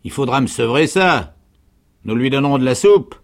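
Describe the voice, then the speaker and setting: A brusque, soldierly canteen woman, played by a man reading a novel aloud, gruff on top and gentle underneath. The voice is lifted in pitch and has a raspy grain. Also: expressive, moderate pace. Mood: brisk, motherly. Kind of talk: dramatic literary reading.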